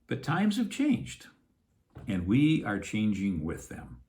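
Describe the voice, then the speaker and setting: A man's voice: on the low side, huge pitch swings, takes it slowly, a little mellow. A man, an actor giving a scripted introduction to a program.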